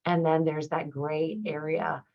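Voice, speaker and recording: A woman leading a professional training, explaining slides in a conversational, supportive way, with a slightly raspy voice that is starting to give out. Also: low voice, level tone, unhurried. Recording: dull, muffled lo-fi audio.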